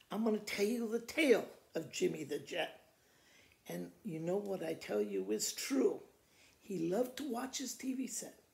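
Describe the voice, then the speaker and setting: An older man with an American accent reading children's poems aloud in a deliberate, expressive storytelling manner. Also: high-pitched, gravelly. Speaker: school principal reading to pupils. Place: living room by a fireplace, indoors. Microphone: camera a few feet away. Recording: echoey room, camera mic at a distance.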